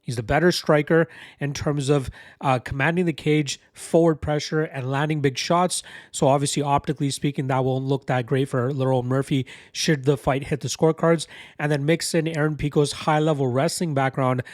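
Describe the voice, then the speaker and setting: Male betting analyst, stuffy cold, brisk and confident, slightly higher voice, dry close mic. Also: fairly even intonation, no echo. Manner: brisk, confident, bettor's slang. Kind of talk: casual betting picks breakdown.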